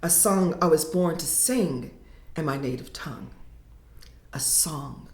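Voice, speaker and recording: A woman poet reading her verse slowly and dramatically, sinking to whispers at times, then talking casually about her writing. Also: low-pitched. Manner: slow, dramatic reading with hushed whispered lines. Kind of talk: poetry reading and casual interview. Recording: echoey room.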